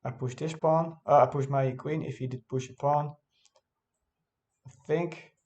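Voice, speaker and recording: A man's voice: fairly high pitch, fairly even intonation, a little slow, a little mellow, lo-fi sound. A man who is not a native English speaker, casually thinking aloud as he reviews his own game, hesitant and often correcting himself.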